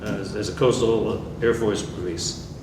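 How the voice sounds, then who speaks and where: An older man from New York State, a veteran answering questions in an oral history interview in a plain, measured way with dry humor. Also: fairly low pitch, fairly even intonation. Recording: echoey room, far mic, background noise.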